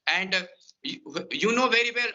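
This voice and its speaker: An Indian man coaching English speaking in earnest, repetitive phrases. His voice is high and very bright. The recording sounds dull and lo-fi. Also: expressive, moderate pace. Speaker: English tutor. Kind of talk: conversation practice advice.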